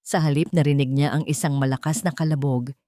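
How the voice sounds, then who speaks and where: Low-voiced woman narrating drama, dry sound. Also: steady tone, brisk, mellow, dry recording. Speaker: story narrator. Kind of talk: dramatic scripted audio story narration in Tagalog.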